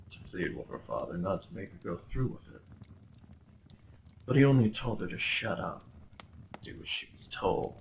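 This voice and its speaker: A man's voice: fairly low pitch, creaky, a little mellow, slight background noise, exaggerated voice, rough recording. A man playing a young, obsessive medieval prince in a dramatic ASMR roleplay, speaking in courtly, theatrical phrases with a possessive, menacingly tender undertone. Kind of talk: dramatic ASMR roleplay monologue addressed to the listener.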